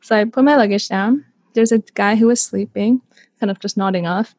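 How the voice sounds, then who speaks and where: A woman in her thirties from Canada, a guest telling a story in a casual interview, chatty and animated with lots of "like". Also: medium pitch, brisk, no echo.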